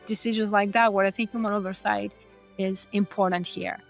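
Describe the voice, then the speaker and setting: A woman's voice: medium pitch, fairly even intonation, fairly quick, creaky, soft background music. Earnest woman from Greece explaining carefully. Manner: earnest and explanatory, with frequent restarts. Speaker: podcast guest. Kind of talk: conversational interview.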